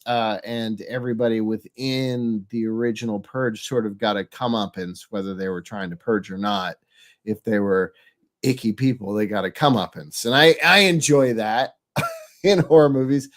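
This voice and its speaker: Male host, stuffed-up nasal voice from a cold, medium pitch, halting conversational delivery. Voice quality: nasal, congested. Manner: halting, searching for words. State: head cold. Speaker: podcast host. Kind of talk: casual, rambling show introduction and chat.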